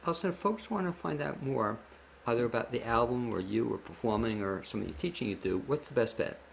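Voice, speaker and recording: Male interviewer, casual and conversational. Medium pitch with plenty of vocal fry. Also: brisk, poor audio. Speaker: show host, interviewer. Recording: clear steady background noise.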